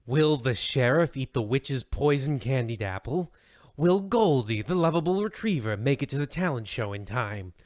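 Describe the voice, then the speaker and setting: A man's voice: medium pitch, lively intonation, moderate pace, a little mellow, no room sound, clean studio sound, lightly acted. Portentous old-time radio host played by a man. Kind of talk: mock vintage radio mystery narration.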